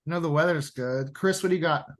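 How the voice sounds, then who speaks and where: A man's voice: on the high side, a little fast. A man hosting a pool podcast, asking easygoing questions in a casual interview. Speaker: podcast host.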